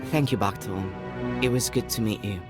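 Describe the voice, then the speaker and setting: An actor playing a brave, earnest young boy in an adventure game, with expressive delivery. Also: mid-range voice, normal speed, close to an everyday voice. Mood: curious. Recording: music under the voice.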